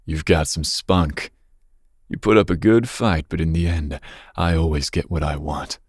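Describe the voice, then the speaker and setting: A man playing a dangerous, possessive young mafia boss's son in an intimate ASMR roleplay, speaking breathily with smooth, teasing menace. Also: steady tone, measured pace, dry recording, studio quality, heavily acted. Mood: taunting, intrigued. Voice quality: breathy, husky low voice. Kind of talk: ASMR roleplay, intimate second-person monologue.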